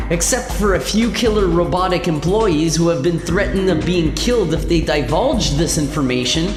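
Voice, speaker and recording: A woman reading scripted narration for a sci-fi comedy radio play. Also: fairly low pitch. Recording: echoey long reverb over music.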